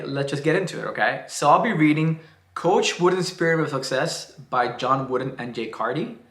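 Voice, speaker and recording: A man's voice: medium pitch, fairly bright tone. A man with a Cuban accent talking casually to the camera as a book vlogger, chatty and rambling. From Cuba. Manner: chatty and rambling, with frequent uh and restarts. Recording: roomy echo.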